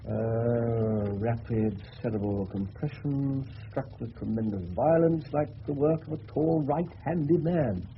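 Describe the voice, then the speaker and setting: An actor with an English accent playing a thoughtful, wry senior police detective in a 1970s British radio drama. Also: male voice, mid-range voice, slow, hardly any pauses. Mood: brisk, businesslike. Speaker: senior detective in a murder mystery. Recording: muffled phone-like old radio broadcast, faint noise.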